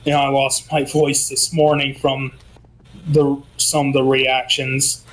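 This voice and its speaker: A man co-hosting a casual gaming news chat, who says he is losing his voice and talks in an unhurried way with frequent ums. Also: mid-range voice, monotone, poor audio. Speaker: co-host, gaming news presenter.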